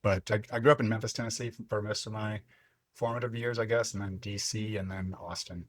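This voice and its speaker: Husky low-voiced man in his fifties. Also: steady tone, no echo. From Lebanon. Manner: reflective, unhurried storytelling with frequent fillers. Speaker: podcast guest. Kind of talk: casual interview chat.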